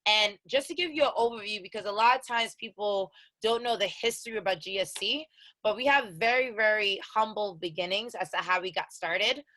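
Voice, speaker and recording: A woman's voice: medium pitch, fairly quick, bright, forceful tone, no echo, rough recording. A woman in her thirties with an American accent, a co-founder giving an online program orientation in a conversational, direct way and repeating key instructions. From Haiti. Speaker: accelerator co-founder presenting orientation.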